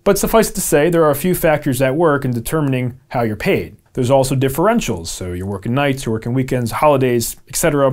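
A man in his thirties with an American accent speaks at a middling pitch. He explains things informally to camera in a conversational way, putting weight on key terms. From Albany, New York, USA. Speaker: video presenter. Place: small home office, indoors.

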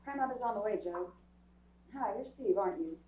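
A woman playing a brisk, friendly policewoman in a stage radio drama. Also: medium pitch, lively intonation, natural voice. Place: small theatre stage, recorded from audience camera. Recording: muffled, echoey stage recording.

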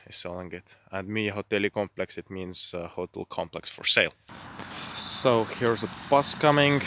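A man's voice: lowish voice, background noise. A young man from Estonia vlogging casually outdoors at night and from his car, rambling and self-deprecating, swearing now and then. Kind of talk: casual vlog chat.

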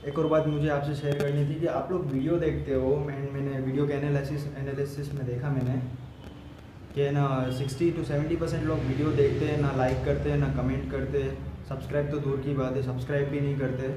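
A man's voice: medium pitch, level tone, fairly quick. A man, vlogging casually and informally, repeating himself and mixing in English words. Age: 20s. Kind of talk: casual product review to camera. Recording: echoey room with clear background noise.

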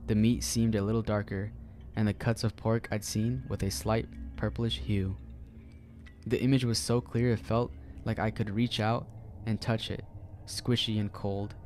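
Male narrator for a horror story: low, mellow, flat delivery, soft music underneath. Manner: calm, measured storytelling.

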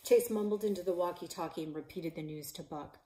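A woman in her forties, a librarian and author, reading her children's novel aloud in a living room, voicing the characters with shouts and whispers. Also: lowish voice, fairly quick. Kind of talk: children's book read-aloud. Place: living room in front of a stone fireplace.

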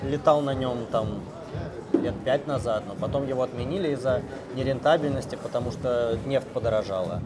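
A man in his thirties from Russia with a Moscow accent, chatting in a relaxed, rambling way with filler sounds as an interview guest in a café. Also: medium pitch, a little fast, heavy background noise. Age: 30s. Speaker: interview guest, software engineer. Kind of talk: casual interview chat. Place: busy café, indoors. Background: loud music.

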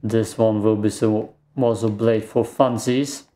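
A man's voice: lowish voice, fairly even intonation, unhurried, dark tone. A man whose first language is German, casually commenting while playing a game, rambling and reacting aloud with self-deprecating remarks, recorded on a desk microphone at a home gaming setup. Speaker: let's play gamer.

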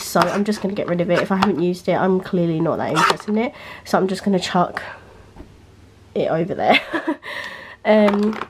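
A woman's voice: mid-range voice, noisy background. Nasal young woman vlogging from her bedroom. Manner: rambling, filler-heavy, chatty. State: nasal, recovering from illness. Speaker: beauty vlogger. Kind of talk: casual chat. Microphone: handheld camera, freehand.

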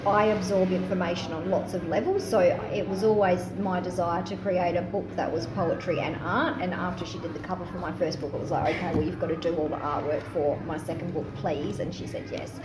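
Informal woman with a slightly low voice. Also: fairly even intonation, brisk, few pauses. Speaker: guest poet. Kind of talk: casual live interview storytelling. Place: live in a taproom bar. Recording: echoey, noisy live venue recording.

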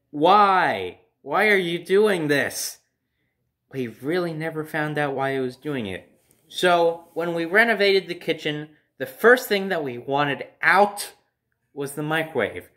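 A man's voice: fairly high pitch, fairly bright tone. A young man telling a personal story casually to the camera. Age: young adult. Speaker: storyteller, vlogger. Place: living room at home, by a window.

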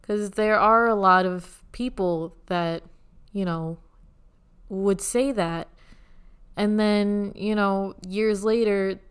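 A woman hosting her own podcast in casual solo talk, with a soothing voice, gently guiding listeners through a short meditation. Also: medium pitch, fairly even intonation, slow pace, smooth. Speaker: podcast host. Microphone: USB studio microphone.

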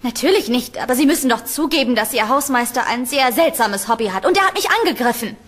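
Woman as scared young student girl in a horror radio play, high voice, pleading n hesitant. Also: animated, fast talker, a bit bright. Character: frightened, earnest young female student. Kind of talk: audio horror drama acting.

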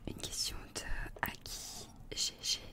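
A woman, reading questions haltingly in a breathy ASMR whisper close to the microphone. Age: 20s. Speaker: co-host reading out viewer questions. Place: small attic room indoors. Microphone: desk condenser microphone, close.

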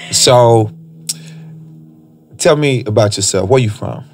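Male host, thirties, American, mid-pitched voice, loose slangy teasing delivery, casual studio podcast chat. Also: slow pace. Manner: laid-back, teasing, slangy. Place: small foam-treated podcast studio. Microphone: close boom-arm studio microphone.

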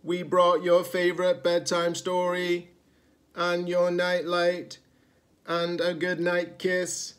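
Man putting on an old rusty robot, storybook, higher pitch, drawn-out words, emphatic, caring. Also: monotone. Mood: worried, caring.

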